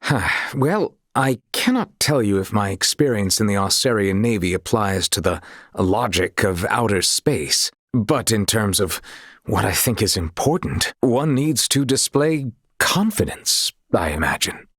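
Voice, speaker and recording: Young male knight, video game, earnest, courtly archaic phrasing, breathy husky tone, dry close sound. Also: lowish voice, lively intonation, a little slow, fairly bright tone, dry recording, full character voice. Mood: thoughtful.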